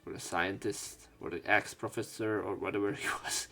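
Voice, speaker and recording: A man's voice: on the low side, fairly even intonation, normal speed, creaky, sharp tone. A man hosting a let's play, reading game text aloud and adding casual, joking commentary.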